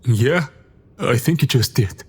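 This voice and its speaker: A man's voice: medium pitch, lively intonation, slow pace, breathy edge, exaggerated voice. A man playing a blunt, wry crew member in an audio drama. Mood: baffled.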